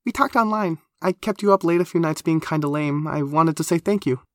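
Man voicing an excitable, high-pitched nervous creature girl. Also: fairly quick, no echo, clean studio sound, natural voice. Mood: gushing. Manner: gushing, flustered, stammering, emphatic. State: breathless with excitement. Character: excitable, nervous teenage girl creature with barbed-wire hair.

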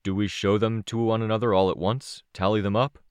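A man's voice: on the low side, level tone, fairly quick, smooth, no echo, studio quality, pitched above the performer's own voice, lightly acted. Man reading a matter-of-fact young man. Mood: practical.

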